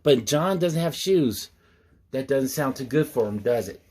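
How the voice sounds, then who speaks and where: A man's voice: mid-range voice, expressive, measured pace, a little mellow. A man reading a rhyming story aloud as a storyteller, expressively, with folksy, playful asides to his listeners.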